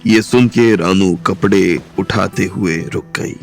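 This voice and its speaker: Deep gravelly guy narrating a story, steady, music under. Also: measured pace. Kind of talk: audiobook narration. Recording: soft music bed underneath.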